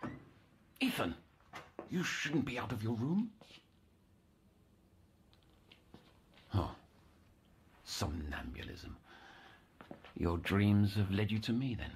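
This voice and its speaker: An actor playing a brooding, obsessive psychiatric counsellor in an audio horror drama, theatrical and ornate, slipping into whispers and eerily tender. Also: male voice, on the low side, big pitch swings, takes it slowly, long pauses, a little hiss or hum, exaggerated voice. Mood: clinical concern.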